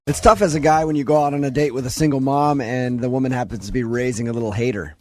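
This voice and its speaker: Male morning radio host, average pitch, teasing and jokey banter. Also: steady tone, quick pace, a little mellow, slight background noise.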